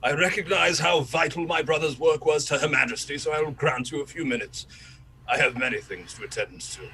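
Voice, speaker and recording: A man's voice: on the high side, brisk, bright, forceful tone, rough recording. A man acting a grieving colonel of fifty in a radio drama, courteous but curt, with stiff formality. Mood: grave, strained. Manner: stiff, formal, clipped politeness. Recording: clear background noise.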